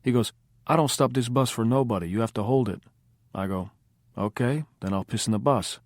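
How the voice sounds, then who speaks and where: A man voicing a cocky, blunt small male drummer, telling rough stories. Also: medium pitch, fairly quick, dry recording, clean studio sound, pitched above the performer's own voice, close to an everyday voice.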